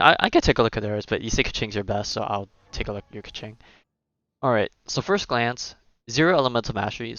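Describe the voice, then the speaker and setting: Low-voiced man casually streaming a game. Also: a bit bright, dry recording, highs cut off. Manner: hesitant, rambling, thinking aloud. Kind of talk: casual livestream gameplay commentary.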